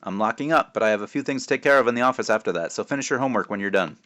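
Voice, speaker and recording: A man voicing a busy, no-nonsense mother who works as a librarian, brisk and matter-of-fact, in a storybook reading. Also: lowish voice, fairly bright tone, lightly acted. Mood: brisk, instructing.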